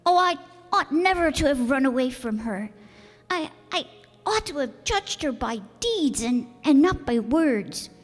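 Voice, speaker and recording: A woman's voice: high-pitched, lively intonation, unhurried. A woman playing an earnest, innocent, wistful young boy prince in a theatrical radio play. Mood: regretful. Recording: echoey hall with music bed.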